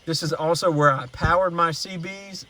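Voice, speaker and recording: A man with a somewhat higher-pitched voice presents a casual hands-on demonstration. He explains each step in a practical, plainspoken way, like a hobbyist showing his own setup. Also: fairly even intonation, normal speed, bright, forceful tone. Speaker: radio hobbyist presenting an install walkthrough.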